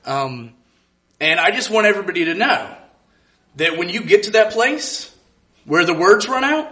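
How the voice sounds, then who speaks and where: A man tells a personal story live in front of a room. His voice sits a bit high and has a bright, forward edge. He speaks earnestly and reflectively. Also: lively intonation, normal speed. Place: live event in a room with an audience.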